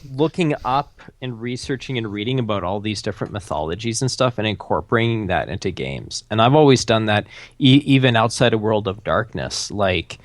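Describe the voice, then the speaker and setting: A man chatting casually on a podcast, relaxed and rambling as he muses aloud. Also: on the low side, brisk.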